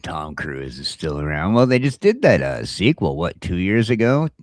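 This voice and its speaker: A man with a deep voice, recorded close and dry, chatting casually as a show co-host. He is blunt and opinionated, and often stumbles into a restart. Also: very animated, moderate pace, no room sound. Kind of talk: casual chat.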